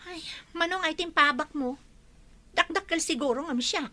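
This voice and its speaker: A defiant young wife who likes to gamble, in an Ilocano radio drama, played by an actress. Her voice is high and sharply bright. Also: normal speed. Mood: bickering.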